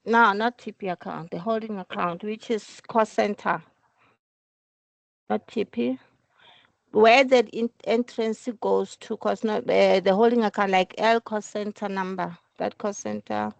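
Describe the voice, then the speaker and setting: A woman explaining work procedures in a meeting, halting at times and repeating her phrases. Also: mid-range voice, steady tone, vocal fry, no echo. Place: recorded online work meeting.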